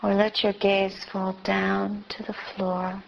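A woman teacher leading a guided meditation with gentle instructions in short, spaced phrases. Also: medium pitch, monotone, slow pace, gravelly, slight background noise. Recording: dull, lo-fi recording.

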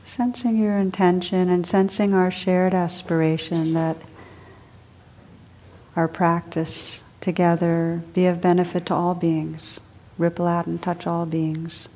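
A woman teaching meditation, guiding listeners gently and unhurriedly, with pauses between short phrases. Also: on the low side, little pitch movement. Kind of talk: guided meditation.

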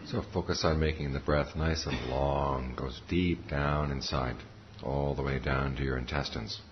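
An extremely low-voiced man leads a guided meditation. He speaks calmly and in a measured way, leaving pauses between short phrases. The recording is somewhat muffled, with a faint noise underneath. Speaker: meditation teacher. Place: meditation center talk.

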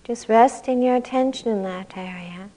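A woman leading a guided meditation as a teacher, speaking gently and calmly in unhurried phrases with long pauses. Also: fairly high pitch, rough recording.

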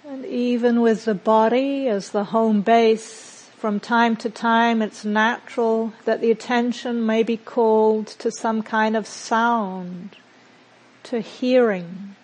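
A woman meditation teacher leading a guided mindfulness practice in a gentle, unhurried manner with long pauses between phrases. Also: fairly high pitch, low-quality recording.

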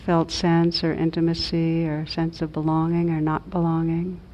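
A woman leading a guided meditation as a teacher, gentle and measured, with long pauses between reflective phrases. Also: completely flat delivery. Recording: dull muffled audio, low rumbling noise.

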